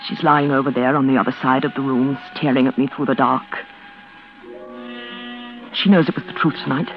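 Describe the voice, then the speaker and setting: A woman's voice: very deep voice, fairly even intonation, fairly quick. An actress playing a bitter, scheming woman caring for her mad sister, in melodramatic, intense 1940s radio suspense style. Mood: cold, scheming. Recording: tinny vintage radio recording, loud organ music.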